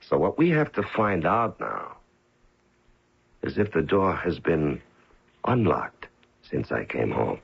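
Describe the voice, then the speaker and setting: Deep, gravelly, menacing hitman. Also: very animated, slow pace, long pauses, heavily acted. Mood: menacing, accusing. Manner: controlled, interrogating, softly coaxing and menacing. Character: cold, menacing professional hitman confronting his betraying girlfriend. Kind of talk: old-time radio drama acting. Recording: muffled old radio broadcast recording.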